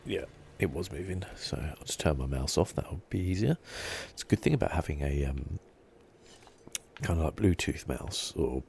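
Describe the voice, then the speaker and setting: A man giving quiet, understated ASMR commentary over a video game in an unhurried murmur. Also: very deep voice, creaky, slight background noise. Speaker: gaming streamer.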